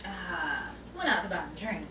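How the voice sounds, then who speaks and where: A woman's voice: on the low side, lively intonation, normal speed, bright, forceful tone. A woman acting an anxious, earnest wife looking for her husband in a 1940s-style radio comedy, pleading and easily exasperated. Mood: flustered. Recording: roomy, distant mic, noisy amateur recording.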